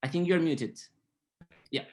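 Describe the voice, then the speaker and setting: A man moderating a webinar who reads out a guest's introduction haltingly, stumbling over some words, over a video call. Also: fairly high pitch, a little hiss or hum. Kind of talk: reading a guest bio.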